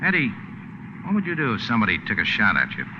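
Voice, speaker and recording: An actor playing a tough, laconic boat skipper for hire in a 1940s radio drama, terse and deadpan. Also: male voice, fairly low pitch, fast talker, in a large hall, background noise. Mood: gruffly annoyed. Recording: dull 1940s radio recording.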